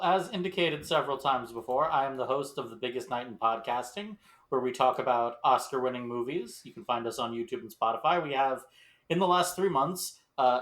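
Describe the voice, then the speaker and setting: A man's voice: mid-range voice, a bit bright. A man talking casually as a podcast host. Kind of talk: casual chat.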